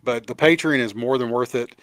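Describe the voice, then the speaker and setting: A man's voice: medium pitch, brisk, fairly bright tone, no echo. A man with a Kentucky accent co-hosting a casual chat over a video call, laughing often and restarting his sentences. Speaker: podcast co-host.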